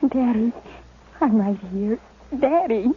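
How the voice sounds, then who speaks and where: Upset young farm daughter in old radio western, high pleading voice, thin tinny crackly sound. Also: female voice, expressive. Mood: grief-stricken. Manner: pleading, halting, tremulous. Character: distressed young frontier daughter. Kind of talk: 1950s radio western acting. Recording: tinny, noisy old 1950s radio recording.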